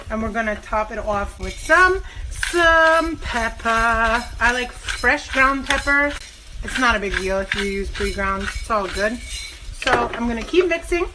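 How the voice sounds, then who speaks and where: A woman hosting a cooking show, chatting and joking with viewers as she cooks in a kitchen. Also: on the high side. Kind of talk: casual cooking demonstration. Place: kitchen by the stove. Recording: frying sizzle noise in a kitchen.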